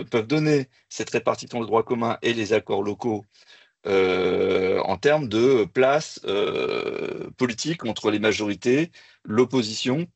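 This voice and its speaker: A man giving an expert's explanation in a panel discussion, with halting, hesitant phrasing. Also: medium pitch, creaky, husky, no room sound. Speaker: expert guest.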